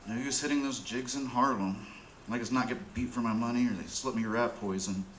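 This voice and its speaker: An actor playing a jittery, down-and-out addict and petty thief who grumbles and mutters to himself in street slang, in a radio drama. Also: male voice, mid-range voice, fairly quick, a bit bright, close to an everyday voice. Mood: anxious, bitter. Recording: roomy, with faint background noise.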